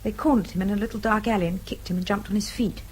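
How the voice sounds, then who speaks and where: A woman's voice: mid-range voice, fairly even intonation, quick pace, slight background noise, close to an everyday voice, poor audio. An actress playing an outspoken, earnest young Englishwoman with a British accent in a radio drama, well-spoken and polite. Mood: indignant.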